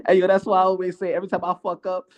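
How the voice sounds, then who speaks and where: A man's voice: high voice, completely flat delivery, quick pace. A native Spanish-speaking man casually hosting a boxing chat in slangy, informal talk, joking and stumbling over and repeating his words. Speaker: host of a boxing commentary show.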